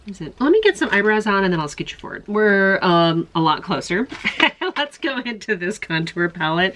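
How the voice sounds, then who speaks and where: A woman with a low speaking voice who sounds congested, chatting casually as she does her makeup in front of the camera. She rambles and questions herself out loud. A faint hiss sits under the recording. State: congested from illness. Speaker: beauty vlogger. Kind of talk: casual makeup try-on chat.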